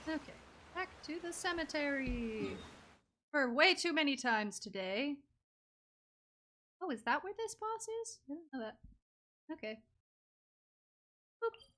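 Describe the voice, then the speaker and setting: A woman's voice: high-pitched, sing-song, measured pace, pauses often, pushed and bright. A playful, reactive woman streaming a game with casual commentary. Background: game music.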